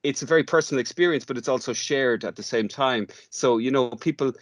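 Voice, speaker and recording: An Irish man talking conversationally as an interview guest, halting and often repeating words as he explains. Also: mid-range voice, no echo, studio quality. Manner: hesitant, halting, with stammered word repeats. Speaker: guest, audio theatre workshop leader.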